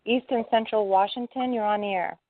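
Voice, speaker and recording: A woman hosts a call-in discussion in a casual interviewing style. Her mid-pitched voice comes through a narrow, muffled phone line, dry and without room sound. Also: brisk, no room sound. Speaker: host of a call-in conference. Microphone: telephone.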